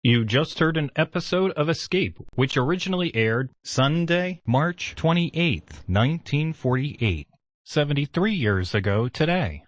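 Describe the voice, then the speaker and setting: A man's voice: on the high side, animated, unhurried, lo-fi sound. A man announcing from a script as a radio host.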